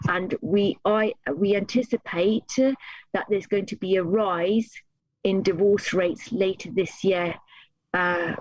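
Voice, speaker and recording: A family law solicitor answering interview questions in a halting way, with many fillers and restarts. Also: mid-range voice, fairly even intonation, no echo. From United Kingdom. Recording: dull lo-fi dry sound.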